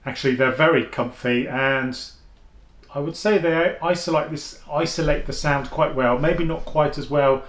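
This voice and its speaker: A man's voice: fairly even intonation, moderate pace, roomy sound. A man, chatting through a casual headphone review at home and thinking aloud as he goes. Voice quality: deep voice. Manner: chatty, thinking aloud, with frequent restarts and fillers. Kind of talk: casual product review vlog. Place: at home, indoors.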